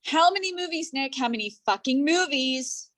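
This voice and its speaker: A high-pitched voice for a blunt, indignant woman filmmaker , played by an actress. She sounds wounded and accusing and swears freely, as in a staged play reading. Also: lively intonation, moderate pace, a bit bright. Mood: angry, accusing. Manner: accusing, profane.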